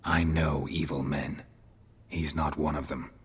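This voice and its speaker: An actor playing a gruff, terse male monster hunter with a very deep, raspy voice and a dry, low-key manner. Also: level tone, measured pace, slight background noise. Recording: long hall reverb.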